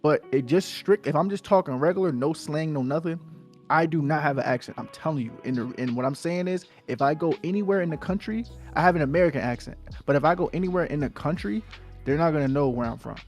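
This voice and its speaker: A man's voice: a little high, quick pace, darkish tone, faint music behind. A man with a New Jersey accent co-hosting a casual podcast, talking insistently and repeating himself to make his point. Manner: insistent, repeats "I'm telling you, bro". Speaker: podcast co-host.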